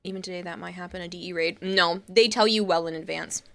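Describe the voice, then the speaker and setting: A woman's voice: fairly low pitch, steady tone, quick pace, vocal fry, a bit bright. A young woman with a Boston accent talks to her live-stream chat in a chatty, reassuring way. She replies to viewers and thanks them as she goes. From Massachusetts.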